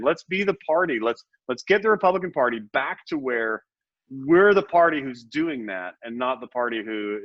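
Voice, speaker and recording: A man's voice: medium pitch, a bit bright, no room sound. A middle-aged man, a Senate candidate, speaking earnestly and with passion as a guest, often restarting his words. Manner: impassioned, repeats and restarts words. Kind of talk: earnest interview talk. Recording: muffled dry audio.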